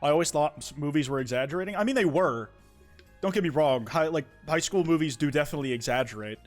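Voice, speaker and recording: Young male streamer, a touch high-pitched, loose rambling delivery full of profanity; faint music under him. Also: lively intonation, fast talker. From United States. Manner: rambling, profane, many restarts. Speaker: streamer reading viewer confessions. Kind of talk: casual live-stream chat.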